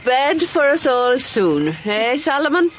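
An actress playing a shrewd, practical Mother Superior with a brisk, authoritative manner in a radio play. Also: sits high, big pitch swings, slight background noise, full character voice. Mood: fond. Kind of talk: radio drama acting.

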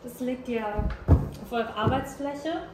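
A young woman in her twenties, a food vlogger chatting casually and relaxed at home. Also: a little high, slow pace. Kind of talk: casual vlog chat. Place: home rooms and kitchen, indoors. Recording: echoey room with background noise.

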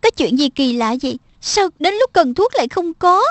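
A woman voicing a concerned young girl, an expressive big sister in a cartoon dub. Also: high voice, moderate pace, no echo. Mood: worried.

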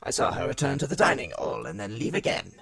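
A man playing a polite, inquisitive suspect with a creaky voice in an audio drama. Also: mid-range voice, huge pitch swings, quick pace, a crowd in the background. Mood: smooth, polite.

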